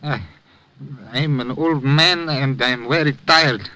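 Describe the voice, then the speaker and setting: A man's voice: medium pitch, slow pace, bright, forceful tone, no echo. An actor playing a weary, courtly old immigrant man with formal, mournful manners in a 1950s radio drama. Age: older.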